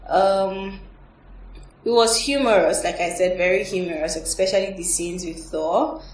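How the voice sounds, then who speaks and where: A woman's voice: medium pitch, unhurried. A woman hosting a movie review podcast, talking to her guests and sharing her own views. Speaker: podcast host. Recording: roomy, distant mic, background noise.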